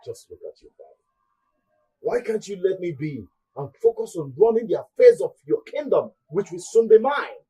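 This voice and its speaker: An actor with a Nigerian accent playing a proud young prince, defiant and emphatic, in a royal drama. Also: male voice, high-pitched, big pitch swings, moderate pace, mellow, exaggerated voice, poor audio. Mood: resentful, defiant. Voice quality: very husky, raspy. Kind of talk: Nollywood royal drama acting.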